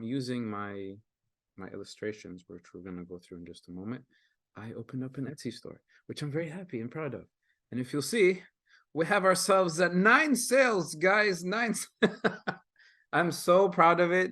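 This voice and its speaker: A man's voice: mid-range voice, fairly bright tone, no echo, studio quality. A young man, a native Arabic speaker who grew up in Kenya, presenting his artwork in an earnest, casual way with many fillers and restarts over a video call. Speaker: guest artist presenting his work.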